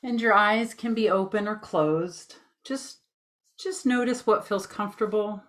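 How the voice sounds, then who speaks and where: A woman leading a guided meditation with gentle, informal instructions in a soothing, unhurried manner. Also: medium pitch.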